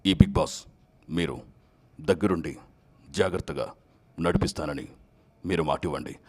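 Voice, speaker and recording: A man voicing a solemn, commanding male reality-show announcer who speaks formally in measured, authoritative phrases. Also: very deep voice, darkish tone, pitched below the performer's own voice, full character voice.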